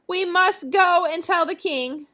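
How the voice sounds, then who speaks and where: A woman's voice: very high voice, slow pace, bright, forceful tone, heavily acted. A woman voicing an alarmed, insistent little storybook hen with an urgent, exclaiming delivery.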